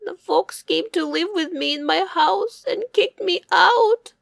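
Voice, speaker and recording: A woman's voice: very high-pitched, lively intonation, slow pace, vocal fry, fairly bright tone, no echo, pitched above the performer's own voice, heavily acted. A woman voicing a sad, meek little hare in a plaintive storybook voice. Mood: tearful, upset.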